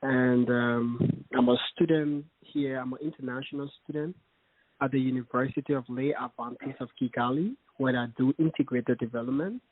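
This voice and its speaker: Guest: young man from Liberia, husky voice, earnest and halting, on a dry, tinny call line. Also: mid-range voice, steady tone, no room sound. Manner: earnest, hesitant, many fillers. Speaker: interview guest. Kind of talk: casual interview. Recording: dry, phone-like call audio.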